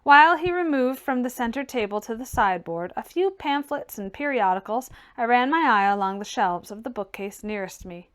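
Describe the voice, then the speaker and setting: A woman narrates a classic novel as an audiobook. Her voice sits fairly high, and she follows the written prose as a storyteller. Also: animated, normal speed, few pauses, a bit bright, smooth. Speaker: audiobook reader. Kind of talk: scripted literary narration.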